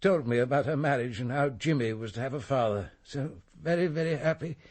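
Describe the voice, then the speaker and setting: Man acting village vicar, husky, mid-pitched, kindly, muddled, hesitant, repetitive, radio drama. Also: a little fast, darkish tone, close to an everyday voice. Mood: muddled, wistful.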